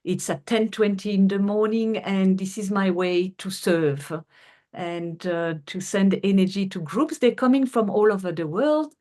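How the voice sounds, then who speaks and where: A woman's voice: mid-range voice, warm tone. Middle-aged French-accented woman, earnest. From France. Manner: earnest, unhurried, with frequent fillers and restarts. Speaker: guest, healer. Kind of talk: conversational interview.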